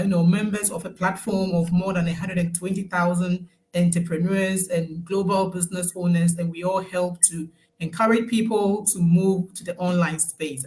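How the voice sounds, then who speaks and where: A woman's voice: lowish voice, a little mellow, low-quality recording. Woman in her thirties, friendly interview guest on a video call, laughs easily. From Ghana. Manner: friendly, laughs often, chatty. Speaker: guest, nurse turned online business owner. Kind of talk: casual live-stream interview.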